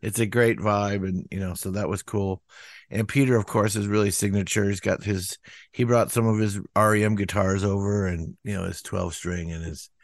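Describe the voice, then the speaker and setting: An older man musician talks in a loose, rambling way, stacking up "you know"s and slipping into higher voices when he quotes people. His voice sits low. The sound is dry and close. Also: steady tone, normal speed, dry recording. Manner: rambling, many "you know" fillers, acts out quotes in a raised voice. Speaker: musician guest. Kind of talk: casual interview talk.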